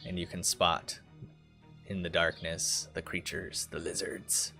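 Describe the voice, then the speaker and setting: A man's voice: deep voice, flat delivery, unhurried, gravelly, pushed and bright, faint music behind. A man running a tabletop roleplaying game as game master, giving rulings in casual talk.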